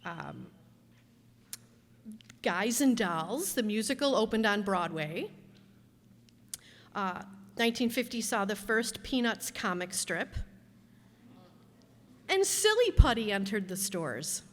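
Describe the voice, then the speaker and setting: Unhurried woman introducing a show. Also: mid-range voice, very animated, a little hiss or hum. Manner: chatty, unhurried, friendly asides. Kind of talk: live audience introduction. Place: hall with live audience. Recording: long hall reverb.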